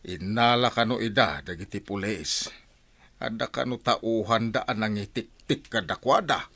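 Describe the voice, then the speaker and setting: A man's voice: lowish voice, moderate pace, gravelly, sharp tone, full character voice. A man acting in an Ilocano radio drama as a concerned village husband and father, passing on news conversationally. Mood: concerned, gossipy.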